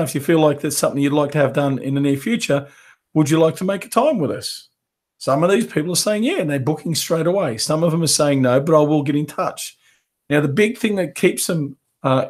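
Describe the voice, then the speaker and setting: Man with a head cold teaching. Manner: coughs and clears throat often; acts out sales pitches in quoted dialogue. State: head cold, losing his voice. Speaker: business coach. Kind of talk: live webinar teaching.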